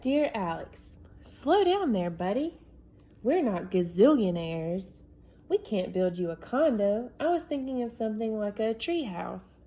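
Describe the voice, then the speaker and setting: A woman voicing a patient father who answers his son's letters with calm, gently teasing replies. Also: mid-range voice, unhurried. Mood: dry. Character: patient, joking father writing back to his son.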